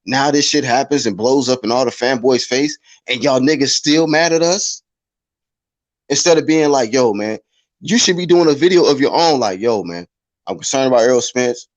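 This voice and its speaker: Male host, blunt and combative in heated debate; average pitch, dry mic. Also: lively intonation, normal speed, no room sound, low-quality recording. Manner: blunt, argumentative, reads chat. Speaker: show host.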